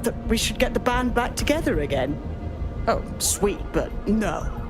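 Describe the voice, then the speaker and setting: A woman's voice: mid-range voice, lively intonation, measured pace, natural voice. An actress playing a ruthless female hacker villain in a video game, theatrical, sarcastic and coldly superior. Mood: coldly resolved. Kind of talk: scripted video game voice acting. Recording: music behind the voice.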